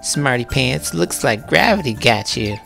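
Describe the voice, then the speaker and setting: A sneering schoolgirl bully out of a storybook, played by a man. She taunts in mocking singsong, pitched far up and loud, swooping around. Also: lively intonation, a little slow, gravelly, music in the background.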